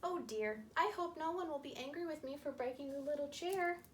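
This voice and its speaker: A woman voicing a curious, fussy little storybook girl with drawn-out, exaggerated exclamations. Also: high-pitched, a little hiss or hum, natural voice. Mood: sorry.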